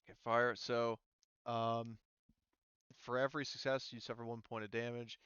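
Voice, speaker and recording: A man's voice: medium pitch, little pitch movement, normal speed, fairly bright tone, clean tone, no echo, lo-fi sound. A man narrating a tabletop game as game master, explaining rules casually and thinking aloud hesitantly.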